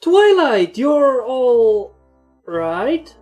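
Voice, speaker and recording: A woman's voice: fairly high pitch, big pitch swings, drawn-out words, a little mellow, soft background music, voice pushed up, heavily acted. A woman voicing a friendly, trusting young male dragon in a story reading. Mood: concerned.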